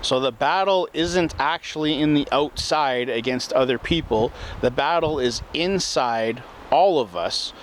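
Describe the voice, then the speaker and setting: A man giving a slow, earnest self-help talk that circles back over the same points. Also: medium pitch, slight background noise.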